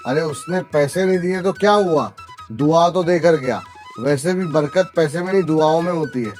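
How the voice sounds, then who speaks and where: A man voicing a gentle, principled elderly village father who runs a roadside eatery, in a cartoon story. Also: on the high side, moderate pace, faint music behind, lightly acted. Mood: kindly, explaining. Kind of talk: Hindi cartoon story voice acting.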